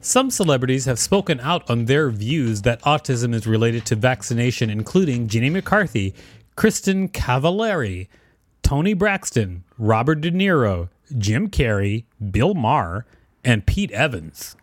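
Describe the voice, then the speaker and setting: A man's voice: medium pitch, very animated, a little slow. A man co-hosting a podcast, reading an article aloud and discussing it casually. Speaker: podcast co-host.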